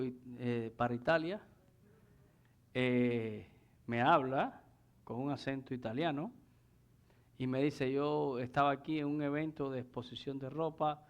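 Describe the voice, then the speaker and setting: A man's voice: mid-range voice, clean tone, muffled recording. A man preaching a sermon in a didactic manner, explaining scripture and putting rhetorical questions to his listeners. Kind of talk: sermon with scripture exposition and anecdotes.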